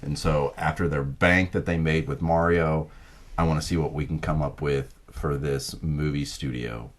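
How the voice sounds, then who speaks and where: A man's voice: extremely low pitch, fairly even intonation, moderate pace. A man hosting a podcast in casual, joking group chat, informal and teasing. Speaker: podcast host acting as judge.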